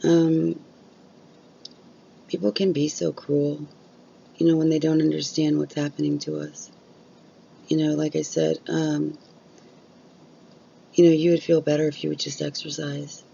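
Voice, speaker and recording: A woman's voice: sits low, level tone, a little slow, vocal fry, pauses often, warm tone, dull audio, slight background noise. A woman vlogging candidly about chronic illness, blunt and open, swearing freely while losing her voice. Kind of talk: candid casual vlog talk.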